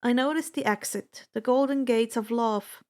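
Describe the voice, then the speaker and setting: A woman reading fan fiction aloud as an expressive first-person narrator, with a deliberate, dramatic delivery. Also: on the high side.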